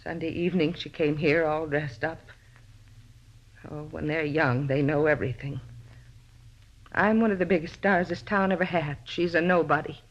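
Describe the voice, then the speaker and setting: A woman's voice: low-pitched, fairly even intonation, normal speed, pauses often. An actress playing a possessive, middle-aged faded female star in a 1950s radio drama, pleading and justifying herself bitterly. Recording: dull vintage radio broadcast recording.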